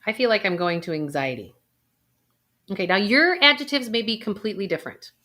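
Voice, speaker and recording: A woman's voice: mid-range voice, lively intonation, brisk, sharp tone. A middle-aged woman, a financial planner, coaching the viewer through a guided intuition exercise with breathing.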